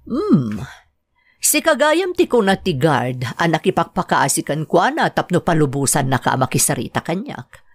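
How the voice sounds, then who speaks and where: A woman's voice: sits low, very animated, normal speed. A woman with an Ilocano accent playing a smug, haughty mistress in a radio-style drama, speaking in a condescending, theatrical way. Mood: smug, dismissive.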